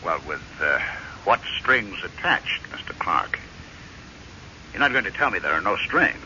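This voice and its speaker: Resentful radio-play husband: sharp, gravelly, sardonic; muffled old recording, faint hiss. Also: male voice, low voice, sing-song, unhurried, exaggerated voice. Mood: wary. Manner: sardonic, insistent, brooding. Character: bitter, resentful husband, an estranged stepson. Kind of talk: radio drama acting.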